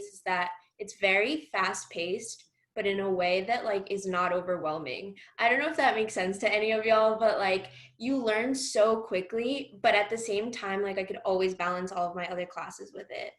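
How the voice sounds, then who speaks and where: Young woman, student panelist, medium pitch, gushing and slangy, casual personal storytelling. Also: a bit bright. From Peru. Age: young adult. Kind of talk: informal personal account on a panel.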